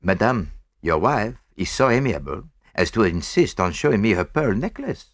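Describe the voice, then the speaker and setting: A man's voice: on the low side, big pitch swings, normal speed, no room sound, clean studio sound. A man voicing a fussy, courteous elderly Belgian detective with precise, mannered foreign phrasing, in an audiobook. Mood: gallant. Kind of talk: audiobook character voice.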